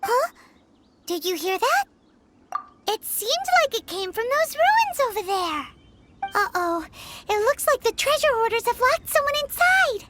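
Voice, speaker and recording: A woman voicing a small, excitable, greedy fairy-like girl companion in a video game, with a squeaky childlike voice and bubbly, exclamatory delivery. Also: a little slow, long pauses, heavy background noise, exaggerated voice. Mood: curious. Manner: bubbly, exclamatory, refers to self in third person. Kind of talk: animated video game voice acting. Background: game music.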